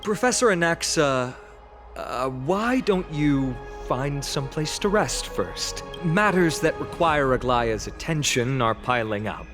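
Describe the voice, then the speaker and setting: A man's voice: mid-range voice, lively intonation, unhurried, natural voice. Man playing a tactful young hero over music. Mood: hesitant tact. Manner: gentle, hesitant, polite. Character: kind, tactful, earnest young male hero. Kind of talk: video game acting.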